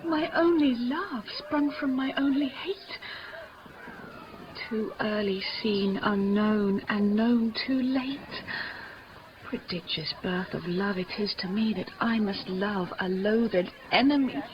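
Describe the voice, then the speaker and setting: A woman's voice: medium pitch, takes it slowly, close to an everyday voice. An actress playing a passionate, lovestruck young noblewoman, speaking verse in an emotive, theatrical 1950s radio style. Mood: anguished. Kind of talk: 1950s radio Shakespeare acting. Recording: dull, noisy old radio recording.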